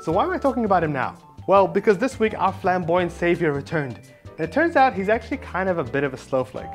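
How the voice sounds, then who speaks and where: Sarcastic, higher-voiced male commentary host. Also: big pitch swings, fast talker, faint music behind. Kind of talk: snarky scripted commentary.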